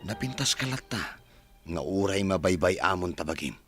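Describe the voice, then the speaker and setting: An actor playing a crude, leering, mocking flirt in a Filipino radio drama. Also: male voice, sits low, lively intonation, moderate pace, fairly bright tone, breathy edge, no echo, faint music behind, heavily acted.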